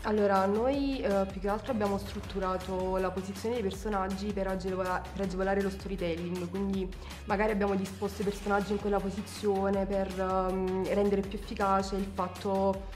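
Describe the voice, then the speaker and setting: Jeune Italienne au ton peu varié. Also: female voice, medium pitch, level tone, brisk, darkish tone, soft background music. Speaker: student comic creator. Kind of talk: unscripted interview answer.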